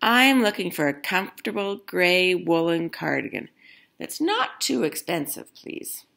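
A woman's voice: fairly low pitch, sing-song, a little slow, vocal fry, a bit bright, exaggerated voice. A woman voicing a fussy, polite old grandpa from a picture book, plaintive and politely insistent.

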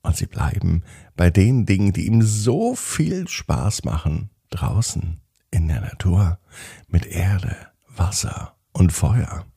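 A man hosts a children's podcast, narrating a bedtime story expressively with drawn-out phrases. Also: low-pitched, big pitch swings, vocal fry, dark tone, husky, no room sound, clean studio sound.

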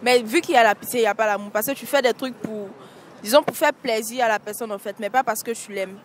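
Vox pop, young woman, fairly high voice, casual and repetitive, handheld mic, light street noise. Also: quick pace. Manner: casual, repeats points with "en fait". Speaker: street interviewee. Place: city street at night beside traffic. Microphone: handheld mic held by interviewer.

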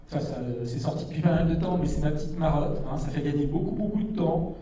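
Man on a dark echoey stage, handheld mic. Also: on the high side, far from the mic, a little hiss or hum. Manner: brisk, with many "euh" hesitations. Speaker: keynote presenter. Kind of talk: product keynote presentation. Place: large dark stage with a big screen. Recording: echoey hall, voice from afar.

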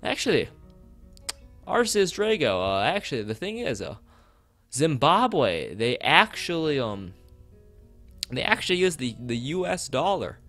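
A man's voice: fairly high pitch, sing-song, unhurried, a bit bright. A man streaming a visual novel, reading it aloud and often tripping over words and correcting himself. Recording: music behind the voice.

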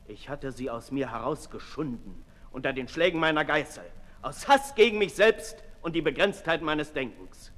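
An actor playing a brooding, disillusioned aristocrat in a 1960s German radio play, declaiming deliberately, from hushed to loud. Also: male voice, a little high, expressive. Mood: bitter, confessional. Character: Marquis de Sade, brooding disillusioned aristocrat, intense and self-lacerating. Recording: 1960s radio recording in reverberant hall.

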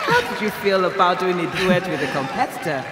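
Talent show host: excited stage announcing, high voice over noisy crowd. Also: male voice, fairly quick. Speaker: event host. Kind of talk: stage announcing in audio drama. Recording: echoey hall, loud crowd noise.

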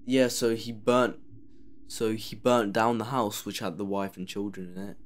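A man's voice: fairly low pitch, fairly even intonation, normal speed. A man commenting sarcastically while he plays a horror game. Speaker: gamer playing a horror game. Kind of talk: let's play commentary.

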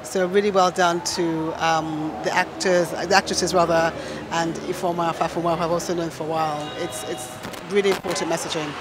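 A woman's voice: on the low side, little pitch movement, moderate pace. A middle-aged woman giving an on-camera interview as a theatre guest at an event. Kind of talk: on-camera vox-pop interview. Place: event venue by a backdrop. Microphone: handheld reporter microphone. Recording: clear background noise at busy event.

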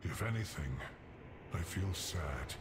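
A man voicing a solemn, wise, sorrowful dragonkin elder for a video game, speaking gravely and earnestly. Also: sits low, animated, slow, heavy background noise. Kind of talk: video game character acting. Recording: loud game music behind.

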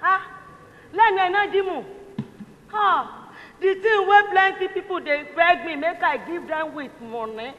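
A woman's voice: very high-pitched, a little slow, a bit bright. A Nigerian woman on stage playing a brash, flirtatious, scornful cleaner. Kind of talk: comic stage acting in Pidgin. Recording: echoey stage hall, muffled, faint noise.

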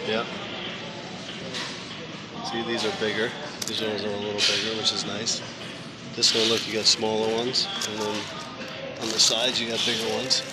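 Excited man filming in a noisy store. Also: lowish voice, normal speed, pauses often. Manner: enthusiastic, reads out prices and names. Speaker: vlogger, father. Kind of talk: casual shopping commentary. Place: large indoor fireworks store. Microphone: handheld camera. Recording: store music playing loudly behind.

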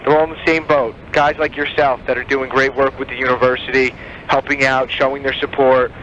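A man working as a telemarketer, giving a sales pitch over a phone line. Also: flat delivery, a little fast, fairly bright tone. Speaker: telemarketer selling advertising. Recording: dull phone line, faint noise.

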